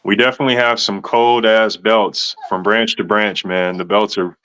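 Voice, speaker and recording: A man's voice: on the low side, steady tone, normal speed, a bit bright, lo-fi sound, slight background noise. A man in his thirties hosting a casual interview, laid-back and slangy, calling people man and bro. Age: 30s. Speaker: host.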